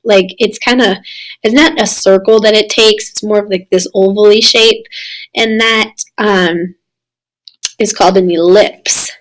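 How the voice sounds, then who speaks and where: A woman with a slightly raspy voice, recovering from losing it, giving a casual recorded slideshow lesson as a science teacher. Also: medium pitch, fairly even intonation, unhurried, low-quality recording. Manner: casual, explanatory, addressing students. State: recovering from lost voice.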